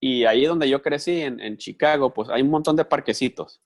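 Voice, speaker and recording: A man interprets a speaker's talk live, phrase by phrase. He stays loose and conversational, repeating words and restarting as he goes. His voice sits at a middle pitch. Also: moderate pace.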